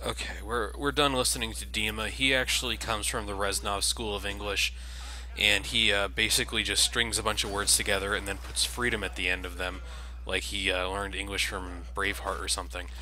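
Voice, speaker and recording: Bright-voiced sarcastic man. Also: fairly low pitch, little pitch movement, a little fast, a little hiss or hum, poor audio. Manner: sarcastic, mocking. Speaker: gaming video commentator. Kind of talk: casual let's play commentary.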